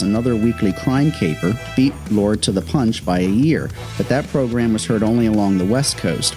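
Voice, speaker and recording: A man hosting a programme with scripted historical commentary, in the manner of a prepared narration. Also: lowish voice. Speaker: host, radio historian.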